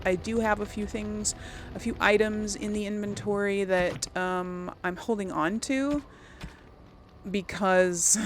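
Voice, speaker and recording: A woman's voice: medium pitch, a little hiss or hum. A woman giving casual gameplay commentary to viewers, rambling and thinking aloud as she goes. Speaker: let's play host.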